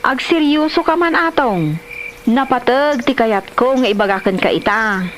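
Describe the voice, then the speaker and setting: A woman's voice: on the high side, lively intonation, normal speed, a little hiss or hum, lightly acted. A woman playing an earnest wife and mother who nags, in a comedy audio drama. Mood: earnest reproach. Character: nagging, principled wife and mother. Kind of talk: comedy radio-style drama acting.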